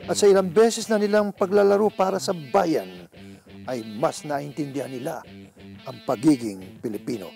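A man narrating a sports documentary in a measured, earnest scripted read. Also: fairly high pitch, expressive, gravelly, music in the background.

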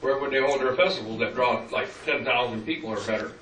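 Bright-voiced man asking from the floor. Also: mid-range voice, fast talker, a little hiss or hum. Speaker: resident asking a question. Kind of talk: public comment at a town meeting. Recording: roomy hall, distant mic.

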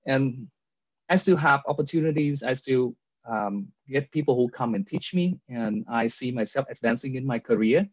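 A man's voice: medium pitch, animated, normal speed, dry recording. A man from Vietnam, speaking with a Vietnamese accent, tells his personal story as a guest in an interview, earnest, with many fillers and restarts over a video call. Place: indoor room with virtual background. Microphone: video call webcam. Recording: dull lo-fi video call audio.

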